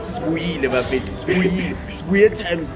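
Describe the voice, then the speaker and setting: Laughing young South African man, slightly high voice. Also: normal speed, over loud music. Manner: animated, laughing, code-switching, argues his point. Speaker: podcast co-host. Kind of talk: casual podcast banter.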